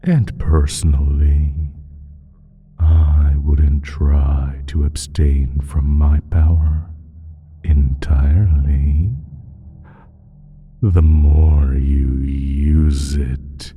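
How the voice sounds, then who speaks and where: A man playing an ancient, evil male creature in an audio roleplay, speaking in a slow, teasing, sinister drawl with a husky, breathy voice that dips toward a whisper. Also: flat delivery, muffled recording, faint music behind, full character voice. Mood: menacing, patronizing. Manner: drawn-out, halting, teasing delivery with low chuckles. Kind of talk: slow, theatrical audio roleplay monologue addressed to listener.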